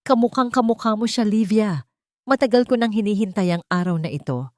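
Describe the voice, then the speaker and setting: A woman with a Filipino accent voicing a solemn, compassionate older male lawyer in an audio story, speaking deliberately. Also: mid-range voice, a little mellow, no room sound, studio quality, pitched above the performer's own voice, close to an everyday voice. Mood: solemn, moved.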